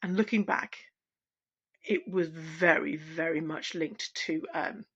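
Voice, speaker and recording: A middle-aged woman, a singing teacher, talking candidly at home in a relaxed, unscripted vlog, pausing and restarting as she reflects. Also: sits low, slightly rough. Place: at home, wrapped in a blanket. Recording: dull, lo-fi sound.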